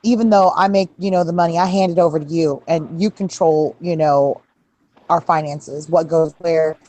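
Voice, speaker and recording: A woman's voice: low-pitched, fairly even intonation, normal speed, warm tone, low-quality recording. A woman in her forties in casual chat, talking emphatically and swearing. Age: 40s. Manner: emphatic, profane reacting.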